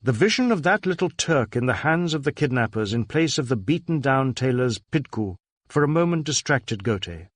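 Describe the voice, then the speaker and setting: A man's voice: mid-range voice, sing-song, normal speed, no echo, clean studio sound. A man reading a crime novel aloud as scripted narration. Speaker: audiobook narrator.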